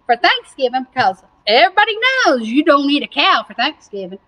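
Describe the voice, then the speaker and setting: A woman's voice: high voice, sing-song, fairly quick, sharp tone, heavily acted, low-quality recording. A woman voicing a gloating, taunting female cow in a children's story, with mocking sing-song teasing and a Southern US accent. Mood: smug mocking.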